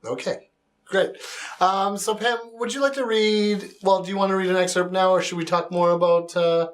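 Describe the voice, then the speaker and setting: A man hosting an improvised mock talk-show interview, halting as he phrases questions and reassures his guest. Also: high-pitched, fairly even intonation. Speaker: comedy interview show host.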